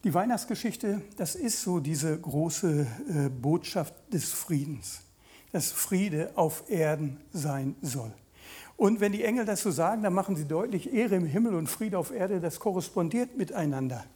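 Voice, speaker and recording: Male preacher, late 50s, voice a little high, conversational sermon delivery with direct questions and mid-sentence pauses. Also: lively intonation, warm tone.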